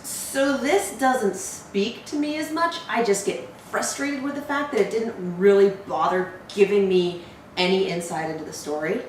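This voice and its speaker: A woman's voice: medium pitch, moderate pace. A woman co-hosting a reaction show, giving blunt, wry opinions in casual film review chat. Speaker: co-host of a horror trailer reaction show. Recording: heavy room echo, distant mic, faint noise.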